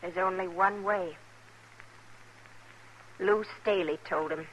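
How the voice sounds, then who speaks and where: An American actress playing an anxious, guarded young wife in an old radio western, speaking in a halting way. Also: female voice, fairly low pitch, steady tone, slight background noise, rough recording. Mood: defensive. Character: anxious, guarded young frontier wife hiding her past. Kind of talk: mid-century American radio western acting.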